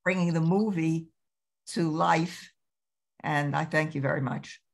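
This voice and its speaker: A woman's voice: low-pitched, steady tone, measured pace, gravelly. An older woman chatting on a video call, rambling from one thought to the next. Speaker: discussion participant. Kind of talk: casual chat on a video call.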